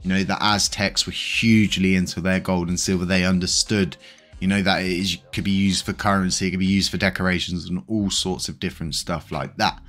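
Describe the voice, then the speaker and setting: A man's voice: low voice, steady tone, a little fast, a bit bright, soft background music. A British man hosting a crypto channel, talking in a rambling, casual way with lots of fillers. He is congested and slightly wheezy. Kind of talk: casual news commentary.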